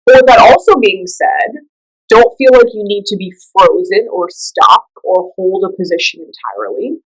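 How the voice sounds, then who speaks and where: A woman leading a guided meditation, giving gentle instructions in a soothing, unhurried and conversational way. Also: mid-range voice, animated, husky, poor audio.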